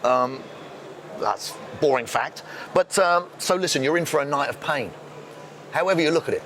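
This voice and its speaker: A man's voice: on the high side, animated, normal speed, a bit bright. A man hosting a fight interview show, jokey and chatty as he asks questions. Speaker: interviewer host. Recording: noisy background.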